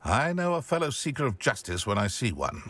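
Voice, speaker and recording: An actor playing a scheming, gleeful middle-aged shopkeeper in a video game, with a theatrical, relishing delivery. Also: male voice, mid-range voice, very animated, brisk, fairly bright tone, no echo. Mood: conspiratorial. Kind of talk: video game dialogue acting.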